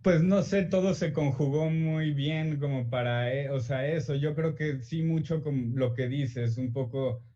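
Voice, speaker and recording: A young Mexican man, an author answering interview questions in a relaxed, hesitant way full of fillers and restarts. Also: on the high side, measured pace, few pauses, muffled recording.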